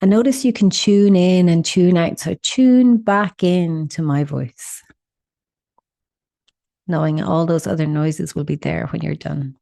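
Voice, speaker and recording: Mellow, low-voiced woman guiding meditation. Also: steady tone, vocal fry, no room sound, clean studio sound. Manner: soothing, instructional, with deliberate pauses. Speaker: meditation guide and host. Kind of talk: guided meditation.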